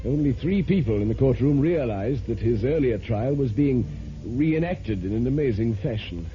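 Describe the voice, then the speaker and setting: A man's voice: mid-range voice, natural voice. A man acting an inquisitive newspaper reporter who narrates a murder trial in a vintage radio drama. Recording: muffled vintage radio with loud music.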